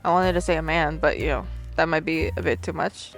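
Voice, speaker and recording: A woman's voice: deep voice, fairly quick, rough recording. A woman acting as a bubbly, slightly awkward woman out speed dating, talking straight to the listener in an ASMR roleplay. She rambles playfully and hesitates in a natural, giggly, conversational way. Music plays behind her voice. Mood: playful, chatty.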